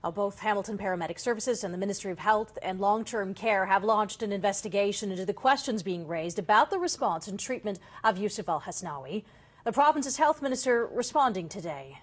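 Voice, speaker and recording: A woman's voice: medium pitch, expressive, fairly quick. A woman anchoring a TV newscast, reading scripted news.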